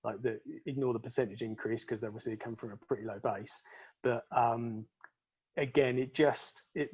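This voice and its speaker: An earnest man at an ordinary pitch walks through his slides on a video call webinar. He often stops and restarts words as he goes. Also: steady tone, normal speed, darkish tone, husky. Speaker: panel speaker, e-commerce trainer.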